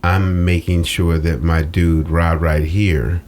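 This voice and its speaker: Deep-voiced man, slangy and emphatic. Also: little pitch movement, takes it slowly, a little mellow, slight background noise. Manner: slangy, emphatic, repeats phrases for effect. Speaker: interview guest, social media strategist. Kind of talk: animated casual talk.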